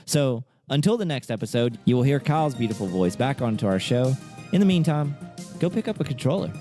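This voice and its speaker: A man's voice: mid-range voice, animated, a little fast, warm tone, faint music behind. A young American man co-hosting a podcast, chatting in an informal, conversational way. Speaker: podcast co-host. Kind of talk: casual chat.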